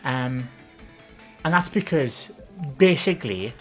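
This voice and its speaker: A woman with a Welsh accent, chatting casually on a live stream. Her voice is soft and gentle but low, and she rambles with frequent ums and restarts. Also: lively intonation, slow, soft background music. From Wales, the Valleys. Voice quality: soft, gentle voice with a lower, less feminine tone. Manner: chatty and rambling, with many ums and self-corrections. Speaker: live streamer answering viewer questions.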